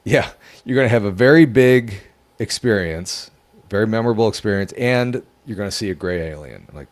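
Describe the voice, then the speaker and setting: Man with a lowish voice, earnest and halting, casual podcast storyteller. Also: lively intonation. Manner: earnest, emotional, halting retelling. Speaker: podcast co-host.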